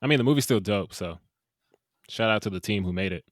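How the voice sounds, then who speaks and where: A man co-hosting a casual movie review podcast, animated and jokey with quick asides. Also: on the low side, dry recording, clean studio sound. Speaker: podcast co-host.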